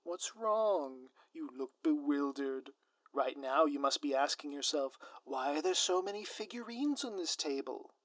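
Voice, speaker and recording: Pompous, bright-voiced theatrical supervillain. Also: male voice, on the high side, animated, a little slow, no echo. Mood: smug, showy. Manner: pompous, florid, taunting. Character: theatrical, gleefully scheming male supervillain, hammy and grandiose. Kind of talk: melodramatic villain monologue in audio roleplay.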